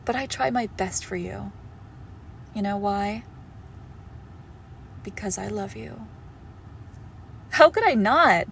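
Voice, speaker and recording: A woman's voice: medium pitch, takes it slowly, creaky, pauses often, a bit bright, slight background noise. A woman playing a possessive young female shadow monster posing as a doting girlfriend in an intimate horror audio roleplay, speaking tenderly and menacingly, often slipping toward a whisper. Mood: doting, eerie.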